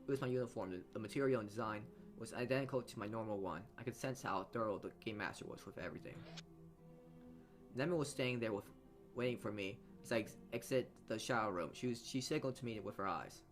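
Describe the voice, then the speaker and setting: Low-voiced man reading over music. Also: quick pace. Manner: stumbles over words and rereads phrases. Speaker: let's play host reading a visual novel aloud. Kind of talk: reading narration aloud with side comments. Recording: music behind the voice. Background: game music.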